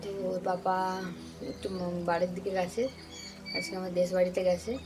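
A young woman chats straight to her viewers, slipping English words into her talk. She records on a phone held at arm's length in a small room at home. Music plays clearly behind her voice. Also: fairly low pitch, monotone, normal speed. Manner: chatty, addresses viewers directly, mixes in English words. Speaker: vlogger. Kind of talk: casual vlog narration to camera. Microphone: phone camera held at arm's length.

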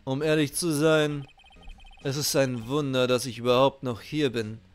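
A man's voice: medium pitch, steady tone, a little slow, faint music behind, lightly acted. Man playing a stern, brooding young prosecutor. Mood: brooding, resigned.

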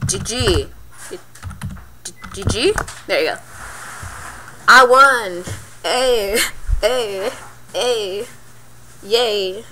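Excitable woman gamer, clicky keyboard behind. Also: mid-range voice, extremely slow, pauses often, slight background noise, low-quality recording. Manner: excitable, yelps and pleads mid-game. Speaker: gaming channel host. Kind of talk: live gameplay commentary. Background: keyboard and mouse clicks.

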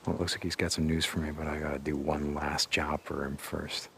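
A man's voice: rumbling low, flat delivery, fairly quick, vocal fry, fairly bright tone, a little hiss or hum, exaggerated voice. An actor playing a weary, terse outlaw biker searching for his missing wife in a video game. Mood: casual. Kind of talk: naturalistic video game acting.

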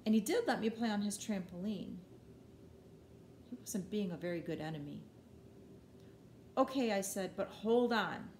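A middle-aged woman giving an expressive children's storybook read-aloud, with measured, dramatic emphasis on key words. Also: mid-range voice. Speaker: teacher reading a picture book aloud. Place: living room at home, indoors. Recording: roomy echo, noticeable background noise.

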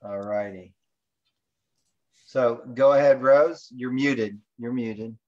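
A man's voice: mid-range voice, slow, darkish tone. Dull-sounding man hosting an online call. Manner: informal, rambling, thinking aloud. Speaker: presenter and host of an online training call. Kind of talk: casual screen-share presentation.

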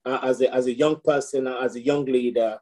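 A man's voice: medium pitch, fairly even intonation, a little fast, darkish tone. A middle-aged man, raised in Nigeria, a priest speaking as a guest on a video call, reflective, with many fillers and restarts. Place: home room with shelves.

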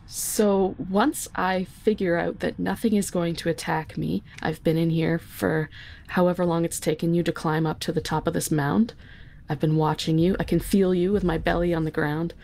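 A woman's voice: on the low side, steady tone, normal speed, vocal fry, a little mellow. A woman casually improvising her moves as a guest player in a tabletop game, thinking aloud. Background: music.

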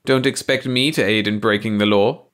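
A man's voice: lowish voice, fairly even intonation, a little fast, fairly bright tone, lightly acted. A man voicing a smug bald male alien who lectures his companion on the law with mocking precision. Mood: smug, amused.

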